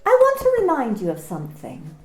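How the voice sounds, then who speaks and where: An actress playing a calm, insinuating female office manager in an amateur radio play. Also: high voice, fairly quick. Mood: cool probing. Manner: calm, insinuating, repeats questions. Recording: roomy home recording.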